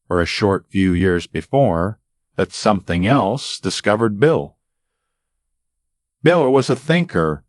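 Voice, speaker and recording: Slow, deep-voiced male storyteller. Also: dry recording, clean studio sound. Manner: dramatic, deliberate phrasing with suspenseful pauses. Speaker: storyteller, narrator. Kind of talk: scripted radio-style narrated true story.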